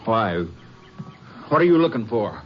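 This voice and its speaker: A man's voice: on the low side, very animated, a little slow, background noise. An actor in a 1950s radio drama playing an anxious country father who pleads for his son. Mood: pleading. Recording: dull lo-fi old radio recording.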